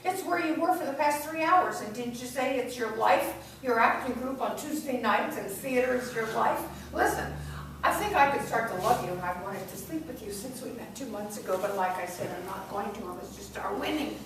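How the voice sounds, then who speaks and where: A woman's voice: on the high side, expressive, brisk. A woman playing a brash, domineering girlfriend, pushy and sarcastic, in an amateur script read-through. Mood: scornful, boastful. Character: brash, domineering girlfriend who demands a winner. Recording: echoey room, far mic, noisy.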